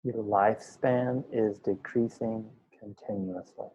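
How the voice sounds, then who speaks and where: Man leading a slow guided meditation, muffled recording. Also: mid-range voice, lively intonation, vocal fry, warm tone, breathy edge. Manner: unhurried, pausing between short phrases. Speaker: meditation teacher.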